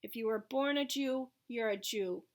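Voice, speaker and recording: A woman's voice: a little high, normal speed, natural voice. A woman voicing a cold, stern male SS officer with a curt, commanding manner in an audiobook story.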